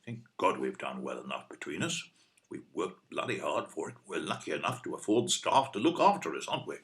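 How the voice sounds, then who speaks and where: An actor playing a blunt, stubborn older British husband in an audio play, speaking in a dry, matter-of-fact way. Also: male voice, low-pitched, big pitch swings, brisk, creaky, fairly bright tone, heavily acted. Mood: grumpy, teasing. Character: blunt, grumpy older husband wanting his freedom.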